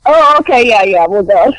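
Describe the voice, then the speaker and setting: A woman's voice: on the high side, no echo, low-quality recording. A woman guest on a radio talk show, chatting casually in a bubbly way and laughing often. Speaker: guest metal detectorist.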